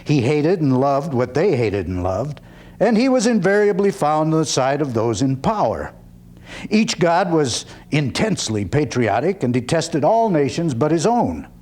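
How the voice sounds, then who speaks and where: A man playing a proud, wry 19th-century freethinking orator in a dramatic one-man stage reading, with deliberate, declamatory phrasing. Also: a little high, very animated, a little mellow. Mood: declamatory, scornful. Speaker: actor performing a one-man play.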